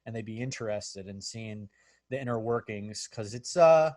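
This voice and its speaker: A man's voice: fairly low pitch, normal speed, a little mellow, dry recording. A man chatting casually on a video call, easygoing and rambling. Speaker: band member hosting a video chat. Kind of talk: casual chat.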